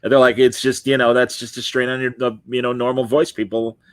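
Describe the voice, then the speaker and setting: A man's voice: mid-range voice, little pitch movement, a little fast, no room sound. Chatty male podcast host, dry sound. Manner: chatty, reads viewer comments aloud. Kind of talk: casual interview chat.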